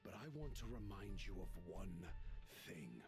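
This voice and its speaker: Pompous, swooping military general. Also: male voice, low voice, very animated, measured pace, vocal fry, full character voice. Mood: menacing contempt. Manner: pompous, condescending, theatrical. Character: arrogant, scheming middle-aged male military general, contemptuous and self-justifying. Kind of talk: dramatic video game voice acting. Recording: game music behind the voice.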